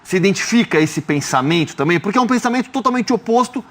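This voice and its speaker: A man, hosting a studio football debate and asking measured questions. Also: high voice, lively intonation, a bit bright. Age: 30s. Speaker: sports journalist host.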